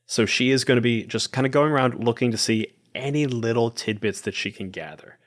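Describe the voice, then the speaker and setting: A man's voice: medium pitch, brisk. A man talking casually as a player in a tabletop roleplaying game, thinking aloud and rewording as he goes. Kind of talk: casual roleplay talk, describing his character's actions.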